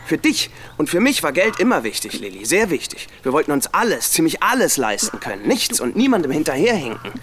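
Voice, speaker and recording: A man's voice: fairly high pitch, very animated, brisk, few pauses, a bit bright. An actor playing an evasive, cutting, status-conscious man in a naturalistic radio drama, dismissive and condescending.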